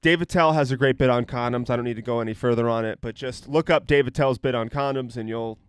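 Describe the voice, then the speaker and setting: A man's voice: medium pitch, fast talker, clear, resonant tone, dry recording. A man in his thirties co-hosting a casual podcast, rambling and joking, often trailing off mid-sentence. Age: 30s. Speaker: podcast co-host. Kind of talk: casual chat.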